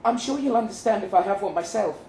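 A man's voice: high-pitched, expressive, fast talker, darkish tone, voice pushed up. A man voicing a polite, nervous, restrained woman in a live short-story reading. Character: woman client, polite and nervous, facing her end. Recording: roomy live venue, some noise.

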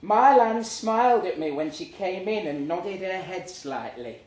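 A British man reads a comic story aloud in an expressive, theatrical storytelling manner at a live pub event. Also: high voice, a little slow. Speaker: reader at a live literary event. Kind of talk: dramatic comic story reading to an audience. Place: pub event room, live before an audience. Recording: roomy live pub recording, distant, faint noise. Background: faint room ambience, audience.

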